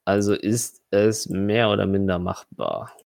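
Male game master for tabletop fantasy play, lower register, close dry recording, improvising narration and rulings in a halting way. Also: fairly even intonation, creaky, a little mellow, no echo. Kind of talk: running a tabletop role-playing game, improvised narration and rules talk.

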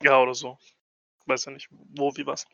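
A man's voice: medium pitch, monotone, normal speed, vocal fry, pushed and bright, no echo. A man chatting casually as a player in a tabletop role-playing game, on a slightly dull recording.

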